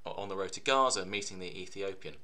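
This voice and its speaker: A bright, forceful man's voice, pitched a little low. He preaches earnestly and steadily, like a vicar speaking to camera from his living room. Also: a little fast. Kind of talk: reflective sermon to camera. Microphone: webcam at desk.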